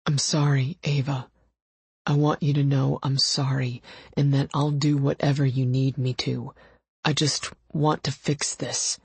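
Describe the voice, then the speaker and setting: A woman voicing an anguished, brooding man in an audiobook romance, raspy and halting as he pleads. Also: rumbling low, level tone, darkish tone, no echo, exaggerated voice. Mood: gruff, subdued. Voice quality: raspy, rough-throated. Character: anguished gruff man, desperate brooding lover.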